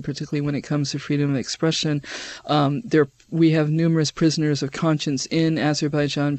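Emphatic man with a fairly high voice. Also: a little mellow, no room sound. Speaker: human rights advocate being interviewed. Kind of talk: interview answers.

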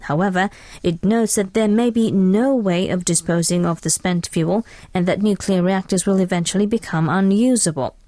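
A woman's voice: mid-range voice, moderate pace, few pauses, dark tone, no echo, studio quality. A woman presenting scripted international news on the radio.

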